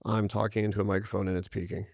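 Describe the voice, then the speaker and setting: Man, gravelly lowish voice, loud, swings up and down, casual co-host, dry. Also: little pitch movement, racing, no echo. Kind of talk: casual chat. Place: podcast studio.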